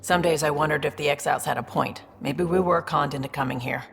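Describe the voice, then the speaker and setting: Very low-voiced streetwise space smuggler. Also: female voice, quick pace. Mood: frank, shrewd. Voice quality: gravelly, low. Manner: wry, sly, self-assured. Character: shrewd, wry, streetwise female smuggler in space. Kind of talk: video game dialogue. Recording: reverberant room with faint background noise.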